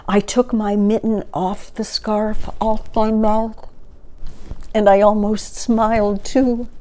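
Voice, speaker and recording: Slow-paced, mellow older woman reading a story. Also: mid-range voice, flat delivery, poor audio. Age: 60s. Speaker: storyteller reading a picture book aloud. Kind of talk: expressive children's story read-aloud. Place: small furnished living room, indoors.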